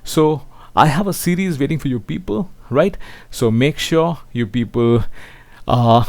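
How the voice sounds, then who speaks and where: A man delivers an online maths lecture in a mid-pitched voice with a dry, close sound. He speaks straight to his students as a teacher would, often tagging his sentences with small checks for agreement. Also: a little slow, creaky, dry recording. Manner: seeks agreement with frequent tag questions; addresses listeners as children.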